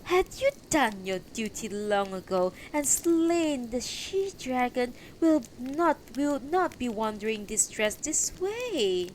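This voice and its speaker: A woman's voice: sits high, expressive, slow pace, a little hiss or hum, voice pushed up, rough recording. A woman voicing one of a band of jeering, accusing ghostly widows in rags, with a parched, taunting delivery in a storybook reading. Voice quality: raspy, parched. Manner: taunting, lamenting, declamatory.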